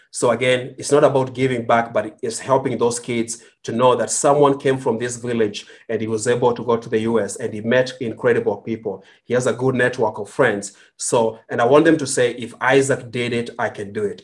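Kenyan man, earnest guest storyteller, middle pitch, halting delivery. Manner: earnest, with frequent fillers and restarts. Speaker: guest entrepreneur. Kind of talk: conversational storytelling.

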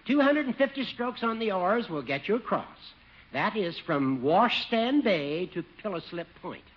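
An actor playing a fussy, teasing older judge in a 1950s radio comedy, with a finicky, needling manner. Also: male voice, high voice, very animated, normal speed. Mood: helpful. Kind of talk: 1950s radio sitcom acting. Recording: dull, noisy old radio recording.

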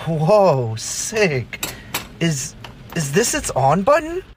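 A man's voice: a little high, huge pitch swings, drawn-out words, background noise, voice pushed up, full character voice. A man voicing a brash young man who blurts things out crudely in an audio drama. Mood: awed, curious. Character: brash, whiny, curious young man, Nick.

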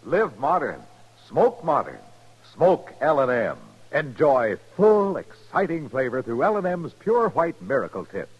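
A man delivering a polished advertising pitch as a 1950s radio commercial announcer. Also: sing-song, a little slow. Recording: muffled old radio recording, loud music.

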